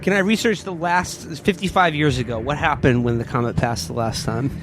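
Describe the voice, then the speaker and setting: A man's voice: medium pitch, a little fast, music in the background. A man playing a tabletop role-playing game, improvising in a casual conversational way.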